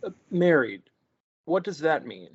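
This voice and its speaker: A man reading a sheltered, childlike young man at a table read, asking halting, stammering questions. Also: on the high side, big pitch swings, breathy edge, highs cut off, full character voice. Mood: naive.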